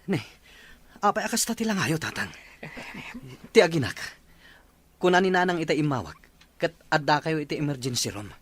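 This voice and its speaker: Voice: mid-range voice, expressive, brisk, pauses often, fairly bright tone, breathy edge. An actor playing an anxious, grieving parent in an Ilocano radio drama, with music behind the voice. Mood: anxious, questioning. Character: distraught adult child, grieving parent of young Caleb.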